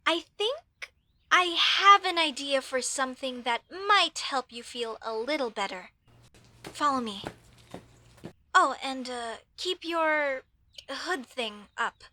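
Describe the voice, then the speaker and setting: A woman's voice: sits high, slow, heavily acted. A woman playing an earnest, proud young female elf mage who speaks expressively and intimately to the listener in an audio roleplay. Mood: chatty, helpful. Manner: expressive, chatty, mock-indignant at times, softening to sincere.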